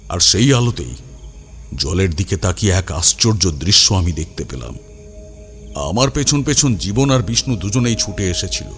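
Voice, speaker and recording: A man narrating a scripted first-person horror story in a dramatic, suspenseful manner. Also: lowish voice.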